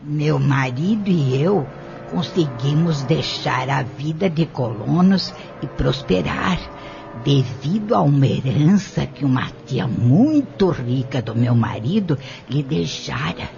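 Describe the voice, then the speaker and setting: Casting: male actor as old country woman on the stand, earnest, gravelly, very unhurried; radio play, muffled sound. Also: on the high side, expressive, a little mellow, full character voice. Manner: slow, deliberate testimony. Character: elderly female witness, earnest, firm, former farm worker. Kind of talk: audio drama acting. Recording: muffled lo-fi audio with music.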